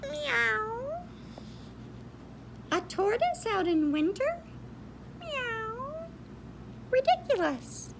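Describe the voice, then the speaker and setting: A woman's voice: very high voice, sing-song, drawn-out words, gravelly, pauses often, noisy background, pitched above the performer's own voice, exaggerated voice. A woman voicing a smug cat that meows and sneers at a tortoise. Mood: sneering. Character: smug meowing cat in a tree.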